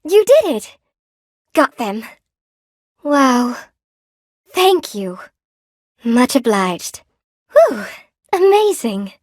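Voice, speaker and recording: Game role: sweet, lonely spider-woman shopkeeper, gentle and eager to please, fairly high voice, unhurried, dry studio sound. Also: dry recording, heavily acted. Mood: excited, encouraging. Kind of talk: video game voice acting, short character barks.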